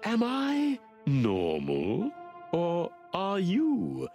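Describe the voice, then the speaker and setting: An actor playing an unsettling, philosophical snake doctor in a noir game, speaking in a measured, cryptic way. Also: male voice, high-pitched, wildly swooping pitch, vocal fry, over loud music, heavily acted. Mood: calm, philosophical. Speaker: asylum doctor character.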